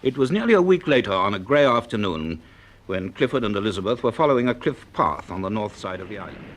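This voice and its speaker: Radio drama narrator, low pitch, highly varied intonation, sober, reflective, suspenseful storytelling. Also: male voice, sing-song, fast, a little hiss or hum. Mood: grave, suspenseful. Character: male narrator recalling mysterious events, sober and reflective.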